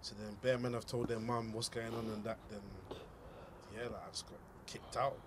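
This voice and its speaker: A man's voice: medium pitch, noisy background. A man, telling stories animatedly in slang. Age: 20s. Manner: quick, animated slang storytelling. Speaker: guest. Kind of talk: storytelling in casual chat.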